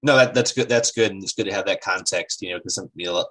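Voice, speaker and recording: A male podcast host with a very deep voice and a bright, forceful tone. He speaks casually, warmly affirming his guest and filling pauses with "you know". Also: poor audio. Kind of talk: casual interview chat.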